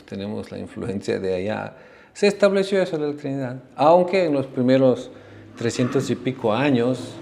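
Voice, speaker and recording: Slow gravelly guy from bosnia teaching a class, keeps tagging questions on. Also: on the low side, darkish tone, a little hiss or hum. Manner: unhurried, frequent tag questions. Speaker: spiritual teacher. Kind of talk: lecture on religious history. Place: ashram class.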